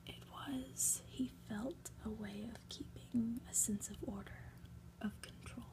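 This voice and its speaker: A woman reads a storybook in a hushed ASMR whisper. She takes her time at a slow pace, letting phrases linger with soft, deliberate pauses between them. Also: on the high side, steady tone. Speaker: ASMR reader, channel host.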